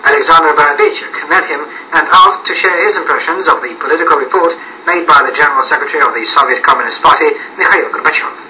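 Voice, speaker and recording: A shortwave radio broadcast with faint noise. A male announcer reads a scripted introduction quickly, in a very bright, sharp voice. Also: a little high, rough recording.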